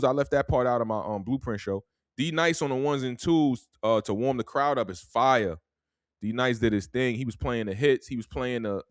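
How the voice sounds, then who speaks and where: A man's voice: mid-range voice, no echo. New york dude rambling thru a story, chill drawl, keeps saying man, dry close mic. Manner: laid-back, drawling storyteller, tags lines with "man". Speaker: podcast host. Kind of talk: casual storytelling.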